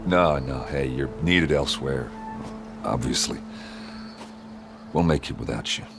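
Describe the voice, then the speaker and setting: An actor playing a gruff, wounded warrior captain in a video game, halting and sardonic, with sighs. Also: male voice, rumbling low, music in the background. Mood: bitter, resigned. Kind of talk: video game dialogue acting.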